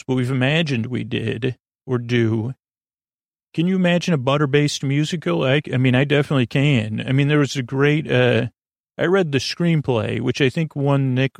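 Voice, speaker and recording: A man with a creaky voice hosting a bedtime podcast, meandering and stumbling over words in a soothing, low-key improvised monologue. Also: normal speed, no room sound.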